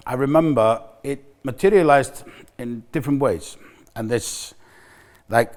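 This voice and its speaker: A middle-aged man with an Icelandic accent talks straight to the camera for a recovery center. His voice sits at an ordinary middle pitch. He sounds candid and reflective, circling back and restarting his sentences as he searches for words. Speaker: recovery center staff member. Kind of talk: personal talk to camera.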